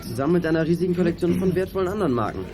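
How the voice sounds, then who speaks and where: A quick-talking, fairly high-voiced teenage boy detective acted by a man, matter-of-fact and eager. It is 1980s youth radio drama with music behind the dialogue. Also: expressive, natural voice. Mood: businesslike. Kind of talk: 1980s German youth radio play acting. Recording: music under studio radio play dialogue.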